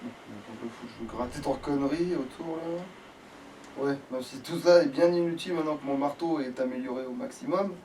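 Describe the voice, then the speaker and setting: A man's voice: medium pitch, moderate pace, dark tone. A man giving casual, sweary, slangy commentary as he plays a video game. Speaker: gamer playing in a let's play video. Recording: distant mic with background noise.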